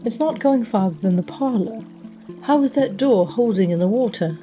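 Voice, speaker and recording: A woman playing a practical, quiet young wizard's apprentice in an audio drama, speaking in a matter-of-fact, dry manner. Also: on the high side, lively intonation, normal speed, dull audio, soft background music, natural voice. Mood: alarmed, baffled. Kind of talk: audio drama character acting.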